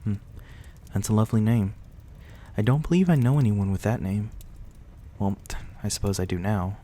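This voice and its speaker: Man playing a deep, gentle vampire gentleman. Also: moderate pace, lightly acted. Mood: gently concerned. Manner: soft, reassuring, politely old-fashioned. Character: gentle, courteous reclusive young-looking male vampire host, 174 years old. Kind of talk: intimate ASMR roleplay acting.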